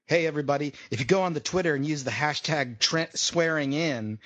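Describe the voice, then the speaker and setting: A man's voice: a little high, fairly quick, fairly bright tone. A man co-hosting a podcast, riffing with crude jokes while commenting live on a debate. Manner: profane, riffing, laughs often. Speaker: podcast co-host.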